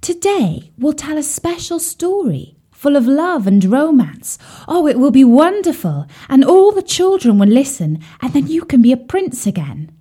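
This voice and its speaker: A woman's voice: mid-range voice, sing-song, slow, warm tone. A woman voicing a tender, romantic female swan in a children's story, with a sighing, gushing, dramatic manner. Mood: gushing excitement.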